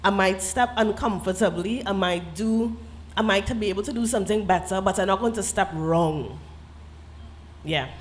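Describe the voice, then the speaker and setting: A Trinidadian woman speaks as a novelist on a literary festival panel. She talks earnestly and reflectively, laughs easily and slips into creole phrasing. Her voice sits in an ordinary middle pitch. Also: moderate pace, slight background noise.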